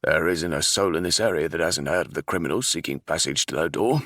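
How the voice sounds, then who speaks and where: A man voicing a gruff, wary older ship captain who growls his words. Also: low voice, a little fast, a bit bright, dry recording, studio quality.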